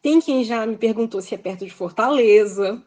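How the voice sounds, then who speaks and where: A woman's voice: a little high, normal speed, a little mellow. Woman guest with a Minas Gerais accent, reflective and hesitant in casual talk. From Belo Horizonte, Brazil. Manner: reflective, hesitant, fills with "assim", laughs often. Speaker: guest author. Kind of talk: casual interview conversation.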